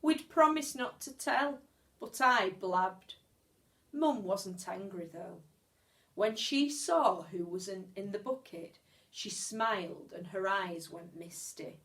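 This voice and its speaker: A woman reading a children's story aloud like a teacher, expressively, with measured pauses and stretched words. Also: medium pitch, animated, fairly bright tone.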